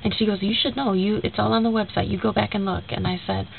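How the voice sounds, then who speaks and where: A woman's voice: medium pitch, fairly even intonation, fast, vocal fry. A young woman with a stuffy, nasal voice from a cold, talking casually in a rambling video message to a friend and retelling a conversation with many fillers. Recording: tinny lo-fi recording with faint hiss.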